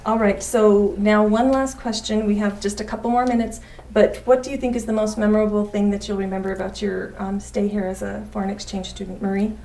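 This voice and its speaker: Woman interviewer, mellow flat voice, lots of mm-hmms, echoey room, kinda far from mic. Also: medium pitch, a little fast, gravelly, few pauses. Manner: encouraging, frequent mm-hmm prompts. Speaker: interviewer host. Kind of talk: friendly interview questions.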